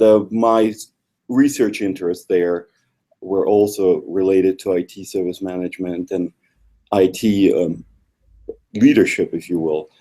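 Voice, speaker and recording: A middle-aged man from Ukraine with a Ukrainian accent, a guest speaking in a measured way with small slips and restarts. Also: deep voice, mellow. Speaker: guest, institute co-founder. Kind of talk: video interview.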